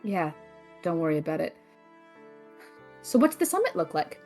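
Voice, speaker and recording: Actress as a lively, sardonic, skeptical young woman. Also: fairly low pitch, normal speed, faint music behind. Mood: wry. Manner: wry, blunt, challenging. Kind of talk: scripted audio drama acting.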